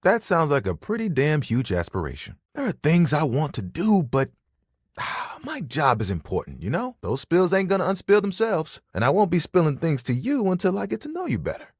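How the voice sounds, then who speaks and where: A man's voice: on the high side, huge pitch swings, moderate pace, breathy edge, no echo, studio quality, full character voice. An actor voicing a talking bath towel in a dating video game, a bashful, eager young guy who rambles casually and self-consciously in puns. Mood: earnest, awkward. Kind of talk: dating game character dialogue.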